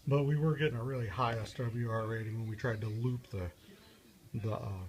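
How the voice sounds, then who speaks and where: A man talking through a casual, unscripted DIY car how-to as a vlogger, halting and rambling as he thinks aloud. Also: mid-range voice, steady tone, darkish tone.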